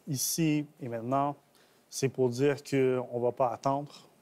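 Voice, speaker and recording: A man who is a comedian, speaking deliberately and with emphasis as a talk show guest. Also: mid-range voice, steady tone, darkish tone.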